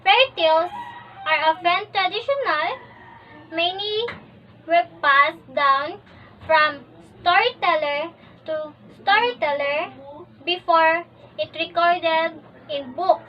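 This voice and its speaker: A woman student presenter reads a scripted lesson haltingly, phrase by phrase, on a dull, distant recording. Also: very high voice, a little hiss or hum. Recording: dull lo-fi, off-mic sound.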